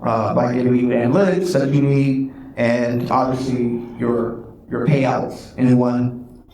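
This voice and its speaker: Flat-voiced man in an echoey hall. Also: mid-range voice, a little hiss or hum. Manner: halting, many fillers. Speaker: software developer, panelist. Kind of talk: conference panel talk. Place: conference hall. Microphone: handheld mic, picked up from afar. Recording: roomy hall sound, faint ambience.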